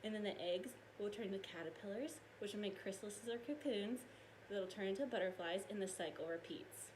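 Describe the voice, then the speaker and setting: A woman teacher reading aloud and explaining science to young children in an expressive, explanatory way. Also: mid-range voice, fairly quick, slight background noise, rough recording.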